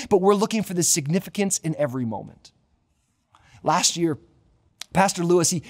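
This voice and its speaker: A man in his thirties with a Canadian accent preaching a sermon as a pastor, emphatic and repeating key phrases for effect. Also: sits high. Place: large empty church auditorium.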